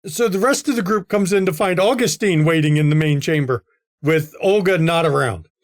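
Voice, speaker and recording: Guy gm with a high voice, runs the table, jokey with the players. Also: moderate pace. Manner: teasing, laughs at players. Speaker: game master. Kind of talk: narrating a tabletop game.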